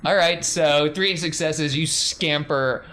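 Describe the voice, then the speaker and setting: A man's voice: a little high, takes it slowly, pushed and bright. A man narrating a tabletop game as game master in a conversational, encouraging way. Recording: clear background noise.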